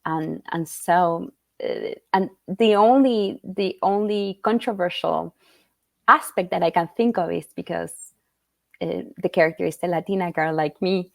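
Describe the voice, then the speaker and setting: A woman's voice: on the low side, measured pace, vocal fry, dry recording. A middle-aged woman from Argentina, a children's book author answering interview questions in an earnest, thoughtful way with frequent fillers and restarts. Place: at home in Utah.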